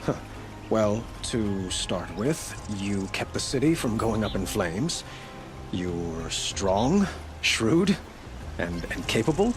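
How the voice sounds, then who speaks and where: Low-voiced male: gentle grieving monarch, formal and measured, video game drama, music underneath. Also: big pitch swings. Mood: tender, halting. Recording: music behind the voice.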